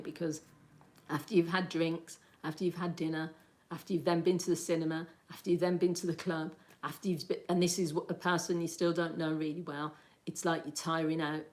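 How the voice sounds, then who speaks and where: Chatty woman, slightly low voice. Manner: chatty and rambling, laughs often. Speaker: livestream host. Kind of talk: casual livestream chat, answering viewer comments.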